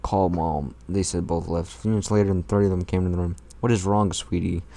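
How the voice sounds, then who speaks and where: A man's voice: deep voice, fairly even intonation, a little fast, creaky, dark tone. A man reading fan fiction aloud in a mocking, irreverent way, tossing in crude comments of his own.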